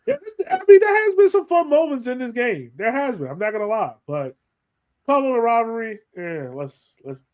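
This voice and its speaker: A man with an American accent chatting casually as a sports podcast co-host, animated and self-deprecating, often thinking aloud. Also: very high-pitched, moderate pace.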